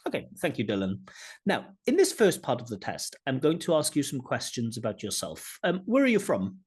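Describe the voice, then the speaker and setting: Male examiner, husky and animated, formal questioning on a video call. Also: mid-range voice, normal speed. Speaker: IELTS examiner and channel host.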